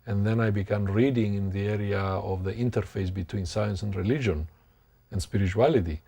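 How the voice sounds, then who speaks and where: A man, a professor thoughtfully recounting his life in an interview, pausing to find words. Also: fairly low pitch, steady tone, vocal fry, dark tone, breathy edge. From Greek background. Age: 60s. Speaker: sociology professor. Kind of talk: interview, reflective personal account.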